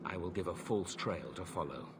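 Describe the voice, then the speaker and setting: A man's voice: sits low, normal speed, background noise, lightly acted. A man playing a conscientious, weary Templar knight in a video game, grave and regretful, with a solemn, reluctant manner. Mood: solemn, resigned. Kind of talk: dramatic video game acting.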